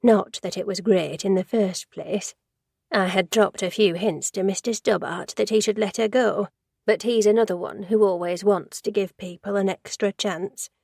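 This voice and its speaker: A woman's voice: medium pitch, fairly even intonation, normal speed, vocal fry, darkish tone, no room sound, studio quality. A woman voicing a gossipy, judgmental older woman who works in an office, rambling and easily sidetracked in a storybook reading. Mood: indignant.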